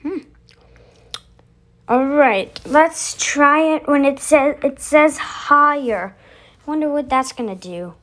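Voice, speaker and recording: High-pitched, slow-talking female host. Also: a bit bright. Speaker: video host. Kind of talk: casual chat to camera, demonstrating voice effects.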